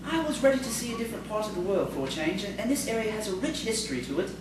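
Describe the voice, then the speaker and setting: A woman's voice: medium pitch, big pitch swings, rapid-fire, natural voice. A woman playing a polite, timid, bookish male schoolteacher in audio theatre, hesitant and prim. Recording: distant, echoey, noisy stage recording.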